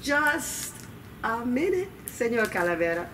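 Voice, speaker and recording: A woman's voice: on the high side, sing-song, pitched above the performer's own voice. A woman voicing a wily, cheerful old grandmother in a storybook, emphatic and drawing things out to stall. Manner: sing-song, emphatic, drawn-out stalling. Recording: distant mic, noisy room ambience.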